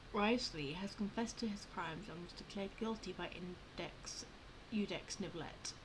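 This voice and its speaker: A woman with a medium-pitched voice plays a game and comments on it casually. She is informal and halting when she reads aloud. There is clear noise in the background. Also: rough recording. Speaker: gamer, channel host.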